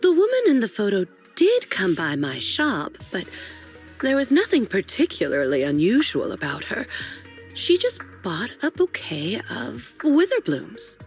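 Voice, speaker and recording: A woman playing a courteous, helpful flower shopkeeper in a video game, speaking in a polite, gentle, explanatory way. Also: medium pitch, huge pitch swings, unhurried. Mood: polite, cautious. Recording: roomy sound with clear music behind.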